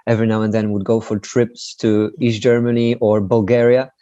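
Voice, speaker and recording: A man from Poland, chatting as a guest in an interview in a relaxed, self-deprecating way with many fillers. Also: lowish voice, normal speed, dry recording. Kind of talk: casual interview chat. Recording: dry, slightly dull sound.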